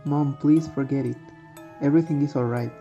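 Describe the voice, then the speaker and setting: Woman doing a troubled schoolboy in a radio play, really dark low voice, muffled mic. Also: steady tone, brisk. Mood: evasive, downcast. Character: frightened, withdrawn schoolboy plagued by nightmares. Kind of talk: amateur radio drama acting. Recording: dull muffled microphone with music underneath.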